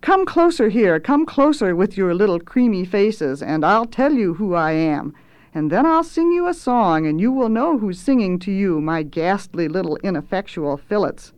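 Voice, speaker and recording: A woman's voice: mid-range voice, sing-song, normal speed, darkish tone, clean tone, no room sound, natural voice. A woman voicing a bloated, drunken male head cook in a storybook, bombastic and wheedling as they relish every insult. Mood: bullying, mock-affectionate. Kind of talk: dramatic storybook reading.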